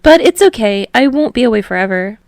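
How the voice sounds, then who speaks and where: Woman voicing a bubbly, high-pitched eager young man. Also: brisk, no echo. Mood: warm, sheepish. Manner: energetic, hopeful, gushing. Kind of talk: fan fiction audiobook character voice.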